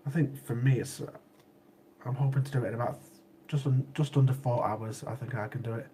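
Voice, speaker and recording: A man's voice: mid-range voice, fairly even intonation, fairly quick, warm tone, slight background noise. A man, hosting a casual livestream and chatting with viewers while sniffly from a cold. He repeats himself often and laughs easily. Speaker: livestream host. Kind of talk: casual chat with live chat viewers.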